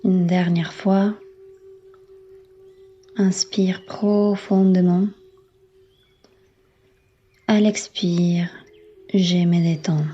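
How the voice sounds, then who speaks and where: A woman yoga instructor leading a guided relaxation in a calm, soothing, unhurried manner with long pauses. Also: on the low side, dull audio, slight background noise. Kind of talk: guided meditation, yoga nidra.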